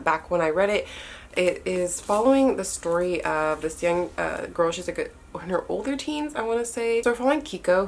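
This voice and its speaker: A young woman with a stuffy nose, chatting in a relaxed, often unsure way as she walks through the books on her shelves. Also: on the low side, gravelly. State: stuffy-nosed. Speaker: book vlogger. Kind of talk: casual bookshelf tour talk.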